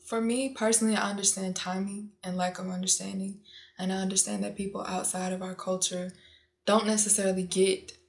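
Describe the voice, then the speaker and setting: A nineteen-year-old woman, a student, giving measured and articulate interview answers. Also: medium pitch, flat delivery, gravelly. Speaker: audio engineering student. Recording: faint music underneath.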